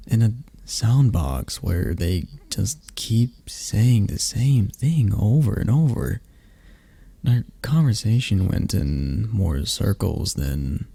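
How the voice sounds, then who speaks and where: A man's voice: on the low side, gravelly, breathy edge, no echo. A young man speaking in a scripted roleplay as a close friend, talking animatedly to someone the listener never hears. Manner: talks to an unheard listener, chatty and animated. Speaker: ASMR roleplay performer. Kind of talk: scripted friend roleplay, one-sided conversation.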